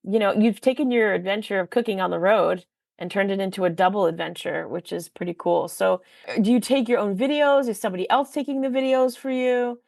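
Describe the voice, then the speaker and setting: A woman hosts a podcast interview in easy, chatty conversation, stopping to correct her own words. Her voice has a gravelly edge of vocal fry. The recording is dry and close, with no room sound. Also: mid-range voice, brisk, darkish tone, no room sound. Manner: chatty, self-correcting, laughs often. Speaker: podcast host. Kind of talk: casual interview chat.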